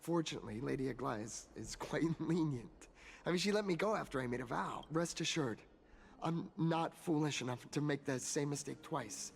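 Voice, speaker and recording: Flustered, high-pitched artist. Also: male voice, expressive, moderate pace, vocal fry. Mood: sheepish, earnest. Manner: stammering, fussy, polite. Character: flustered, talkative male artist and dreamer. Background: game music.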